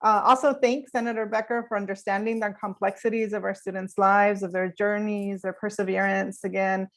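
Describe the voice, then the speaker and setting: A woman's voice: medium pitch, little pitch movement, brisk. Woman host, virtual ceremony, conversational and chatty. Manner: warm, chatty, with fillers. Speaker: program host. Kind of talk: hosting a virtual graduation ceremony.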